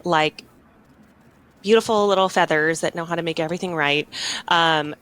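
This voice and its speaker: A young woman hosting a podcast interview, chatty and warm, sprinkling her questions with "like" and "um". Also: lowish voice, normal speed, clean tone. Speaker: podcast host. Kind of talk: interview conversation.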